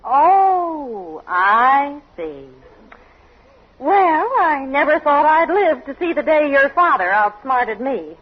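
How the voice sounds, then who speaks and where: An actress playing a loving, worried and exasperated wife and mother in a 1940s radio comedy, expressive and fretful. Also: high voice, slow pace, heavily acted. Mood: shaken, reproachful. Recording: muffled old radio recording with hiss.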